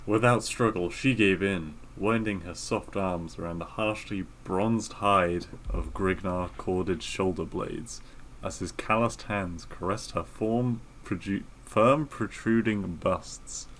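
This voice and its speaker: A man's voice: low voice, takes it slowly, a bit bright, a little hiss or hum, rough recording. A man co-hosting a podcast, chatting casually and reading passages of a story aloud. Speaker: podcast co-host.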